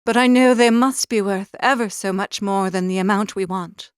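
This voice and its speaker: A woman's voice: mid-range voice, moderate pace, smooth, dry recording, studio quality, close to an everyday voice. Woman playing a demure, pleading young lady. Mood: earnest insistent. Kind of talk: audiobook character reading.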